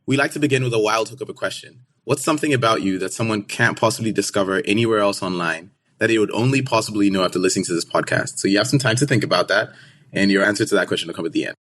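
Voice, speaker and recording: A young man hosting a podcast, putting questions to his guest in a brisk, friendly way. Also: fairly low pitch, fairly bright tone. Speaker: podcast host, interviewer. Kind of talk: interview hosting.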